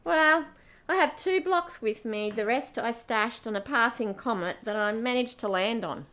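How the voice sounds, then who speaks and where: A woman's voice: fairly high pitch, moderate pace, fairly bright tone, natural voice. A woman playing a bold, shrewd space pirate, firm and matter-of-fact, in an amateur sci-fi radio play.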